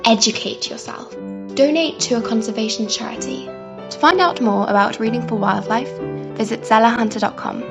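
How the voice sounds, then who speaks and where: A woman's voice: a little high, normal speed, muffled recording. A woman giving scripted promotional narration for a campaign. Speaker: campaign announcer. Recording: voice over loud piano music. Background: loud piano music.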